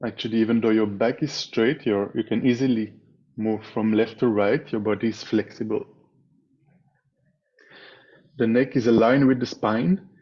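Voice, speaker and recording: A man leading a guided meditation as a teacher, speaking in a measured way with pauses between short phrases over a slightly dull video call. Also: fairly low pitch, steady tone, warm tone, breathy edge. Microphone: video call microphone.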